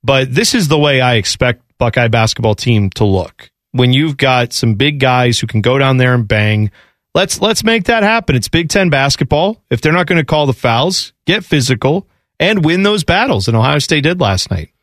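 A man's voice: medium pitch, animated, moderate pace, fairly bright tone, dry recording. A man co-hosting a sports radio show, joking and rambling with eager restarts at a studio microphone. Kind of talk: morning radio banter.

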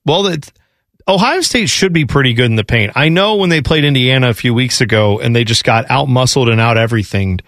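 A man's voice: mid-range voice, a little fast, a bit bright, no room sound. A man co-hosting a sports radio show, joking and rambling with eager restarts at a studio microphone. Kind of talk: morning radio banter.

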